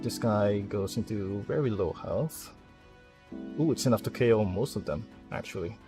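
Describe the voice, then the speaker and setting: A man's voice: fairly low pitch, normal speed, warm tone, faint music behind. A man giving casual gameplay commentary, thinking aloud and muttering half-finished plans with some mild swearing. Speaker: video game streamer.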